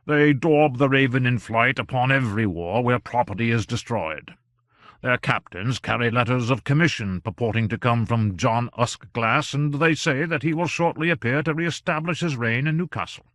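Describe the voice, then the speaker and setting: A man reading an audiobook, voicing an earnest male government gentleman who speaks emphatically and exclaims in surprise. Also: medium pitch, moderate pace, no room sound, studio quality, close to an everyday voice. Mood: grave.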